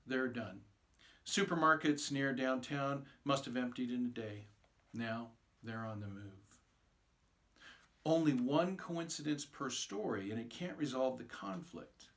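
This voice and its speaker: A man's voice: medium pitch, a bit bright. Man reading his own short fiction. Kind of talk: informal introduction, then narrated short story reading.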